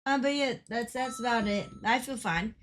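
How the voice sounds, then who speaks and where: A young adult woman streaming a game, chatting casually with a slightly hoarse voice, laughing often and rambling with lots of fillers. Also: on the high side, moderate pace, a bit bright, slight background noise. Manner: giggly, rambling, filler-heavy. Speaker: livestreamer. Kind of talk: casual chat while gaming.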